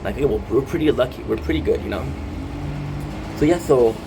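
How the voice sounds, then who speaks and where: A man's voice: medium pitch, a little fast, creaky, background noise. A French Canadian man with a Québécois French accent, talking casually and ramblingly while streaming a game, with many fillers and restarts. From Quebec, Canada. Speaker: gaming streamer.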